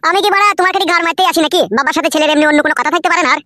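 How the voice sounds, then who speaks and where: A woman voicing a brazen, argumentative young village boy who fibs smoothly in a comedy cartoon. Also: extremely high pitch, racing, bright, forceful tone, no room sound, clean studio sound. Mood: brazen, insolent. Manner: insolent, argumentative, matter-of-fact.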